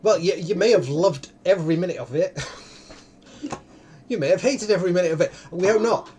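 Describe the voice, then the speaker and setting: A man hosting an online live event in a casual, unscripted way, often halting and interrupting himself. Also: sits high, a little mellow, a little hiss or hum. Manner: rambling, halting, self-interrupting. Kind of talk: casual, unscripted livestream talk. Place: indoor room, online video call.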